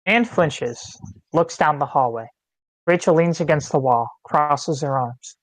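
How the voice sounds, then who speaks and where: Screenplay directions reader, measured delivery, medium-low neutral pitch, scripted narration, no room echo. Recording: online meeting, close and dry.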